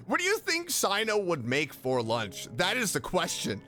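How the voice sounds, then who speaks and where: Enthusiastic male host, slightly high voice, very lively intonation, casual reaction commentary, soft music behind. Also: measured pace. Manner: enthusiastic, expressive. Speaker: video host, game fan.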